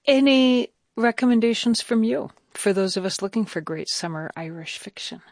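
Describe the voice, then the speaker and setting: A woman interviewing conversationally for radio, putting her questions in a measured way with pauses. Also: fairly high pitch, animated, no echo, studio quality.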